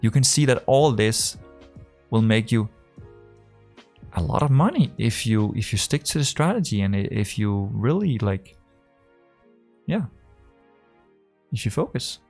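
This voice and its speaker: A man with a strong Danish accent talks loosely to the camera as a trading coach. His voice is rough and gravelly, and his sentences wander, full of fillers and restarts. Faint music plays underneath. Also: fairly low pitch, lively intonation, measured pace, pauses often, darkish tone. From Denmark. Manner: rambling, full of fillers and restarts, acts out conversations. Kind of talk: casual talk to camera.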